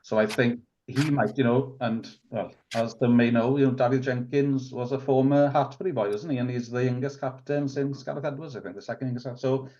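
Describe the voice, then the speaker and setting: A man in his forties with a Welsh accent, Welsh as his first language, talking in a relaxed, rambling way as a guest on a rugby podcast, full of restarts and fillers. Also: mid-range voice, fairly quick, hardly any pauses, highs cut off. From Wales. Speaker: rugby club committee member, guest. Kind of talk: casual podcast interview.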